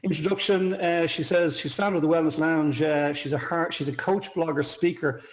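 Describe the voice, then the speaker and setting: A man's voice: a little high, steady tone, moderate pace. A man hosting a casual interview chat, effusive and full of compliments. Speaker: talk show host.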